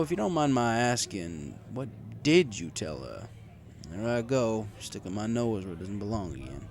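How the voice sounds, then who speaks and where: A man reading a first-person story in a wry, conversational way. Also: lowish voice. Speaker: story reader. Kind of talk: first-person story narration with acted dialogue.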